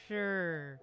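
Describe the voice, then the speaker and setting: Slow, laid-back woman streamer. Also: lowish voice. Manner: laid-back, laughing, short reactions. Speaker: streamer reacting to a game. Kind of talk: casual live commentary. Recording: game music playing behind.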